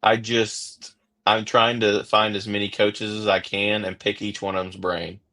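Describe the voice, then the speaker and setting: A man's voice: lowish voice, flat delivery, measured pace, pushed and bright. A man with an Oklahoma accent hosting a podcast, chatting casually and rambling with many fillers and restarts. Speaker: podcast host. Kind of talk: casual interview chat.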